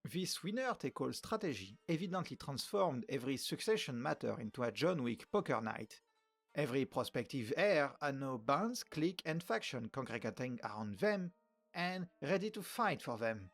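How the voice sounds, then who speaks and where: A man's voice: medium pitch, big pitch swings, moderate pace, faint music behind. A man from France with a French accent narrating a history video, with wry joking asides. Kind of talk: scripted narration.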